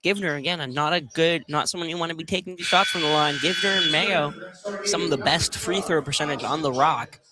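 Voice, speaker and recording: A man's voice: a little high, fairly quick, very noisy. A man giving casual live color commentary on a basketball game from inside a field house.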